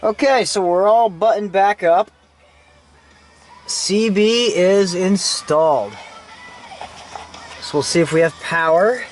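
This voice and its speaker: A man's voice: sits high, slow, pauses often, soft background music. A man casually narrating a hands-on car tutorial in an informal, self-deprecating way, with some mild salty slang. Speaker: host of a DIY car how-to video. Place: in and around a vehicle while working on it.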